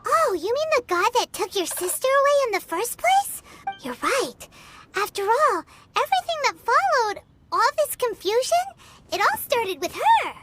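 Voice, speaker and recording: A woman voicing a small, cheerful, childlike fairy companion in a video game, squeaky and chatty, on a noisy stream capture. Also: big pitch swings, normal speed, fairly bright tone, soft background music, exaggerated voice. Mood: pondering. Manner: childlike, speaks of herself in third person. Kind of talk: video game character dialogue.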